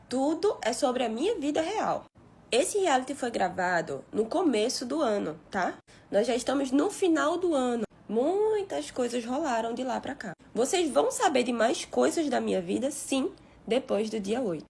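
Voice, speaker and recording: A woman, talking casually and defensively to her followers, blunt and expressive. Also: sits high, normal speed, creaky, husky. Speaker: reality show participant. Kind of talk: casual social media video.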